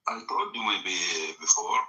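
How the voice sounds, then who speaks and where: Emphatic man, bright tense voice. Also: fairly low pitch, moderate pace, poor audio. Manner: broken English with many fillers, emphatic. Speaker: storyteller recounting family memory. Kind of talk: emotional personal storytelling.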